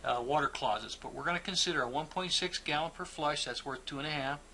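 A male instructor working calmly through a plumbing calculation, explaining each step patiently with small fillers and restarts. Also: a little high, fast talker, a little hiss or hum. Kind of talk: instructional walkthrough.

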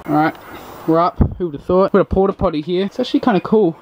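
A man's voice: high-pitched, fast. A young man, giving a casual, jokey walkthrough while exploring old trains, recorded on a handheld camera outdoors and in a locomotive cab. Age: young adult. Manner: chatty, jokey, running play-by-play. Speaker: urban explorer vlogger. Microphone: handheld action camera. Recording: noisy outdoor camera audio.

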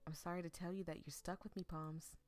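A woman voicing a tender, teasing young boyfriend in a visual novel, gently and reassuringly. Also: lowish voice, flat delivery, brisk, faint music behind, natural voice. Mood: fond teasing. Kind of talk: visual novel read aloud.

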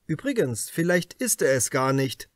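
A man playing a calm, unshakeable police detective. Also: on the high side, no room sound. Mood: firm. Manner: firm, deliberate. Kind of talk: audiobook character dialogue.

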